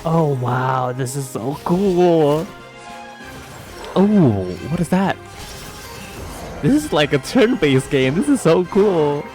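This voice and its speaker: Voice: medium pitch, expressive, slow, long pauses, darkish tone, faint music behind. A gamer giving casual playthrough commentary, reacting in a slangy, exclamatory way with gasps. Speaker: gaming video creator.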